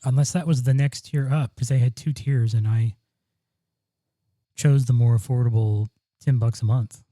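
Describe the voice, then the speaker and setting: A man's voice: medium pitch, steady tone, normal speed, dark tone, no room sound. A man co-hosting a podcast in casual chat, rambling with many ums and restarts, on a studio dynamic mic. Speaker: podcast co-host. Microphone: broadcast dynamic studio mic.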